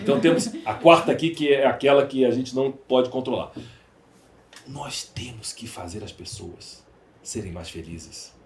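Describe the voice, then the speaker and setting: A man's voice: medium pitch, normal speed, a little hiss or hum. Brazilian guy being interviewed, echoey room, talks emphatic and repeats stuff to make his point. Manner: emphatic, rhetorical, repeats phrases for effect. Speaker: podcast guest, entrepreneur. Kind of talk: conversational interview, storytelling and opining. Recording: roomy, echoey sound.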